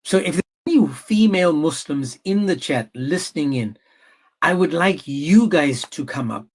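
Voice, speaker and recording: A man's voice: fairly high pitch, animated, moderate pace, breathy edge. A man hosting a livestream debate, goading his guest with pointed questions. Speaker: debate stream host.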